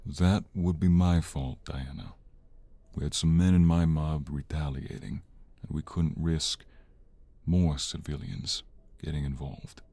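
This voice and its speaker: A man acts out an older crime boss in an audio drama, very low and dark in tone. He is stern yet protective, weighing his formal words slowly like a weary father. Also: fairly even intonation, dry recording, exaggerated voice. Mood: grave, resolute. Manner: measured, deliberate, formal phrasing. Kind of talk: audio roleplay voice acting.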